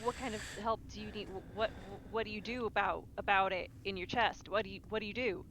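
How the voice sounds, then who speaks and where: Halting, stammering woman playing a tabletop game. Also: medium pitch, steady tone, crowd noise. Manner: halting, repetitive stammering delivery. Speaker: tabletop role-playing player. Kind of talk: improvised in-character game talk. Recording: faint noise on live stream.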